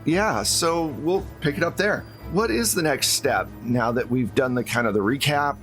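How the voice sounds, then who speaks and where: A man's voice: medium pitch, expressive, normal speed, fairly bright tone, soft background music. A man working as game master, recapping and narrating a tabletop game in a conversational storytelling way.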